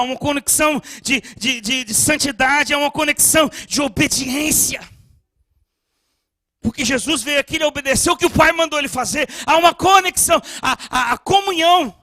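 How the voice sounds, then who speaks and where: High, bright-voiced male preacher. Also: a little fast, no echo, clean studio sound. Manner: impassioned, swinging from soft storytelling to shouted preaching; frequent stammered restarts. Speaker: pastor. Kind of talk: sermon.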